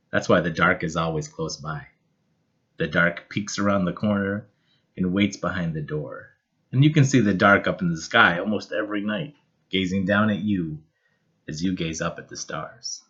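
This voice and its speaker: A man reading a children's picture book aloud in an expressive, measured and dramatic storytelling style. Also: low-pitched, dull audio. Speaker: storybook reader.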